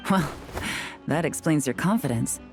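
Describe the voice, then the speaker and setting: Cool, very low-voiced woman agent. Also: lively intonation, moderate pace, loud music behind the voice. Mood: impressed, wry. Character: composed, wry adult woman detective and secret agent. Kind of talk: video game character dialogue.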